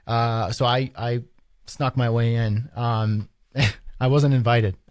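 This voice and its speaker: A Canadian man with a casual, animated storytelling manner and informal slang, chatting as a podcast co-host. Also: medium pitch, slow, no room sound. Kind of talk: casual anecdotal podcast chat.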